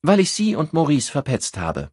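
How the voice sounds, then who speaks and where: A guarded circus artiste, voiced by a male audiobook reader, answers questions with cool, evasive mockery. The tone is husky and lifted, calm under pressure in a crime novel. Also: fairly high pitch, normal speed, dry recording, clean studio sound, pitched above the performer's own voice, heavily acted.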